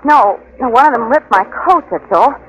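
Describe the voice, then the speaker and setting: An actress as a kind, spirited lady companion who is easily astonished. Her voice is very lively in pitch, in 1940s radio style. The old recording sounds muffled and a bit noisy. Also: normal speed, close to an everyday voice. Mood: uneasy. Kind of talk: 1940s radio drama acting.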